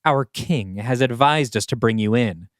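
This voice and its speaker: A man's voice: mid-range voice, unhurried, no echo, clean studio sound, natural voice. A man voicing a smug, threatening male leader of armed raiders in an audio play. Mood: menacing. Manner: threatening, sneering.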